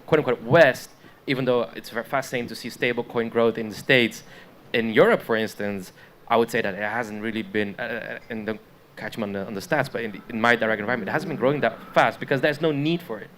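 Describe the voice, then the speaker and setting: A man's voice: on the low side, a bit bright. A man from the Netherlands speaking on a conference panel, hesitant, with many restarts and fillers.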